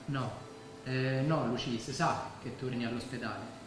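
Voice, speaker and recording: A young actor with a Roman accent playing a devoted, boastful working-class boyfriend in a stage drama, colloquial and earnest. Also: male voice, medium pitch, unhurried, far from the mic, lightly acted. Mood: gentle firmness. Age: young adult. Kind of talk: naturalistic stage drama acting. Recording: very echoey hall from afar, faint noise.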